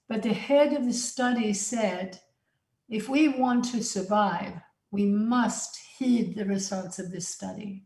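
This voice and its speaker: A woman from Sweden giving an earnest, deliberate conference talk as an invited speaker. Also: on the high side, a little mellow. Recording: echoey conference hall.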